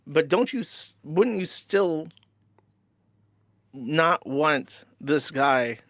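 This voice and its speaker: Earnest man arguing a point, halting. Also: a little high, lively intonation, fairly bright tone, no room sound. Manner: earnest, stumbling over repeated words. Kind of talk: argumentative conversation.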